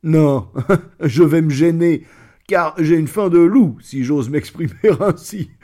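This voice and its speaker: A man doing a booming, mocking lion judge. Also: sing-song, unhurried, darkish tone. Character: mighty scheming male lion judge.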